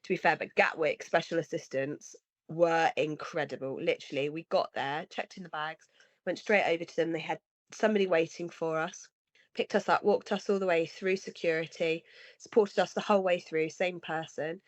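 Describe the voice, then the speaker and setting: A woman's voice: deep voice, fairly even intonation, moderate pace, no echo. Sniffly woman with a cold. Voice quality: slightly nasal and husky. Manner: earnest, rambling with restarts. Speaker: podcast co-host. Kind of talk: casual conversation. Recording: dry, slightly dull lo-fi sound.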